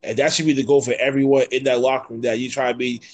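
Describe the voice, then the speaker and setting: A man's voice: mid-range voice, flat delivery, fast. A man in his thirties hosting a casual podcast interview, slangy and sweary, encouraging his guests. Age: 30s.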